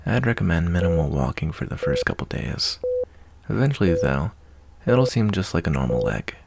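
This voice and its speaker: A man playing a caring, folksy wasteland doctor in an ASMR roleplay, talking in an unhurried, reassuring, slightly hesitant way. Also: low-pitched, sing-song, creaky, heavy background noise, heavily acted. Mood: calm, caring. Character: laid-back, fatherly wasteland doctor, gruff but caring adult man. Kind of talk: ASMR roleplay, softly acted monologue.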